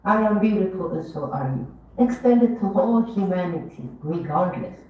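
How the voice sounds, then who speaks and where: A speaker from Ethiopia giving an earnest, measured commemorative speech at an event, lifting their voice on key words. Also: medium pitch, lively intonation. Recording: dull, echoey hall, mic far off.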